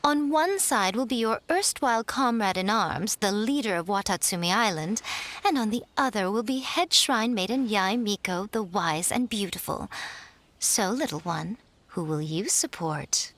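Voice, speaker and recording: A poised, sly shrine maiden from a video game, acted by a woman. She is vain and playfully teasing, with a mid-pitched voice and lively intonation. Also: moderate pace, natural voice. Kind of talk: animated video game dub acting.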